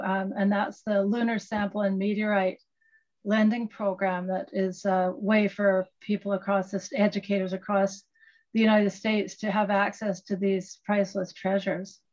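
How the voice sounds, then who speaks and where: Older woman, even-toned, on dull call audio. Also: mid-range voice, normal speed. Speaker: audience member asking a question. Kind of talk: polite spoken question in an online meeting. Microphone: video call, head and shoulders close.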